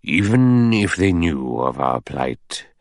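A man playing an old judge in an audiobook, measured, precise, pedantic and coolly authoritative. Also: sits low, big pitch swings, no room sound, clean studio sound, heavily acted. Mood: measured, grave. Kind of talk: audiobook character dialogue.

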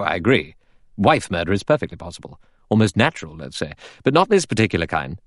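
A man voicing a younger male character in an audiobook, cynical and irritable, with a quick, offhand, argumentative delivery. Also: low voice, no echo, studio quality.

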